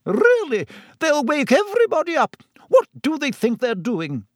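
A man doing a shrill, fussy matron. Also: huge pitch swings, normal speed, no room sound, clean studio sound, exaggerated voice. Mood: indignant. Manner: shrill, indignant, scolding. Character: fussy stern older woman nurse.

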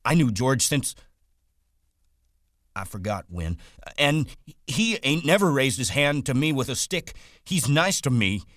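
A man voicing a big, simple-minded grown man who pleads and whines like a child, in rough country grammar. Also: measured pace, a little mellow, dry recording, studio quality, natural voice. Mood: frantic. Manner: high, whining and frantic, rough country grammar.

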